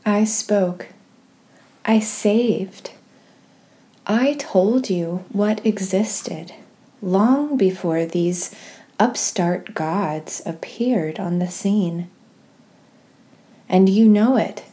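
Woman doing guided prayer readings, real slow and soft, mellow voice, leaves pauses. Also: mid-range voice. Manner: slow, gentle, soft-spoken, measured with pauses. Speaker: host of a guided prayer podcast. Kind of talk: guided meditation and scripture reading.

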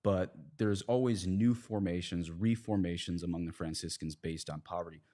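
A man talking through his points in a casual podcast discussion, often halting and correcting himself as he explains. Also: deep voice, dark tone. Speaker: priest and podcast guest.

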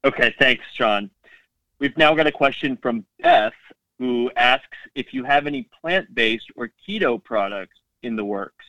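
A man's voice: mid-range voice, animated, a little slow, sharp tone, no echo. A man moderating an investor conference call, formally reading out submitted questions. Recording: distant mic on conference call.

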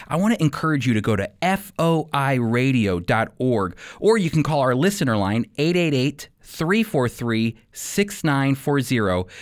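A man teaching as a radio host in a scripted, sermon-like style, with emphatic, deliberate phrasing. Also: medium pitch, no room sound, studio quality.

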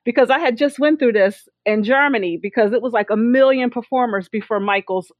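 A woman speaking as a guest in a casual roundtable and telling her story in an animated, chatty way. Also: on the high side, a little fast, dry recording, lo-fi sound. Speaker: panel guest.

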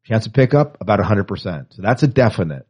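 A man's voice: lowish voice, very animated, quick pace, a little mellow, slightly rough. A man co-hosting a podcast in casual, opinionated chat with wry asides, reading and commenting on news. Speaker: podcast co-host.

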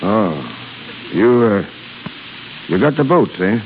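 A man's voice: lowish voice, sing-song, slow. An actor playing a crusty, blustering old shipping boss in his sixties, sputtering salty seafaring talk in 1930s radio style. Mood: defeated. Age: 60s. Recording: crackly, tinny 1930s radio broadcast.

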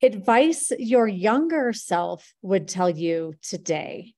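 A woman's voice: mid-range voice, big pitch swings, takes it slowly, darkish tone. A woman hosting a conversational podcast, affirming her guest with enthusiasm. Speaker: podcast host, marketing CEO.

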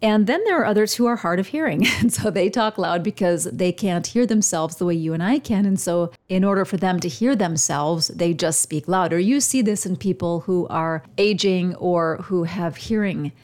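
A woman's voice: lowish voice, darkish tone. Radio-trained woman coaching on voice. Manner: demonstrates volume changes with her voice; uses dramatic pauses, radio-trained delivery. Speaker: podcast host and communication coach. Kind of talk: instructional monologue. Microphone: studio microphone.

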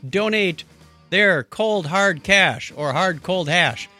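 A man's voice: sits high, animated, slow pace, bright, forceful tone, soft background music. A man co-hosting a podcast in a casual, playful, chatty style. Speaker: podcast co-host. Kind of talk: casual chat and listener thanks.

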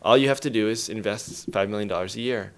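A man's voice: lowish voice, quick pace. A man speaking as an interview guest, explaining things in an emphatic, storytelling way with acted-out quotes.

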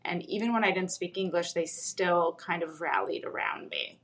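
A woman's voice: on the low side, normal speed. A woman whose first language is Russian, a psychologist speaking as a guest and thoughtfully recounting her own childhood with frequent ums. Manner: thoughtful, with frequent ums and soft trailing ends. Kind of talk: reflective personal storytelling.

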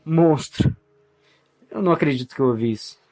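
An actor with a Brazilian accent playing an earnest, scornful hunter of necromancers in an audio drama, grave and explanatory. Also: male voice, on the high side, brisk, darkish tone, lightly acted. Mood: disgusted.

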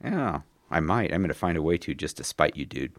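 A man with a bass voice and a cold coming on, hosting a casual podcast and talking with many hesitations and restarts. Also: very animated, fairly quick, no echo, studio quality. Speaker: podcast host.

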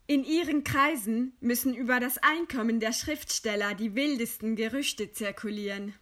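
Actress as proud, high-voiced condemned woman writer. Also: a bit bright, close to an everyday voice. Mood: guarded, composed. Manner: eloquent, measured, scornful. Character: condemned dissident woman writer, widowed, proud, defiant, composed. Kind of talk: German radio play acting.

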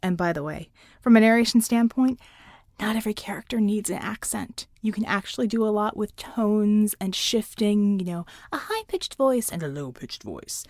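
An articulate female narrator explains things directly to her listeners. Her voice sits at a middle pitch, with deliberate emphasis on key words. The sound is close and very dry, like a studio booth. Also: expressive, a little mellow, no room sound. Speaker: audiobook narrator. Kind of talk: instructional talk to listeners.

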